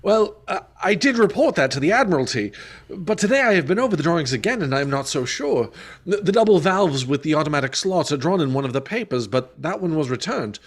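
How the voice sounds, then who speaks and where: A man playing a gruff, nervous middle-aged senior clerk in a radio play, hesitant and agitated, with a husky voice. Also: on the high side, lively intonation, fairly quick.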